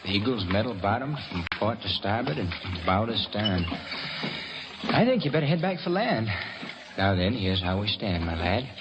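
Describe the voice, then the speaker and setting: An actor in an old radio drama playing a gritty young soldier piloting a primitive submarine, talking aloud to himself and urging himself on. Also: male voice, on the low side, big pitch swings, moderate pace. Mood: resolute, wry. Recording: muffled, noisy old radio recording.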